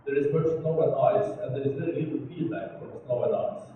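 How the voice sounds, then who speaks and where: A man from North Norway with a Northern Norwegian accent, giving a conference keynote slowly and deliberately with dry jokes. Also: medium pitch. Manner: slow, deliberate, dry joking. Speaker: keynote speaker. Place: large conference hall. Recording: echoey hall, far mic, tinny, faint hiss.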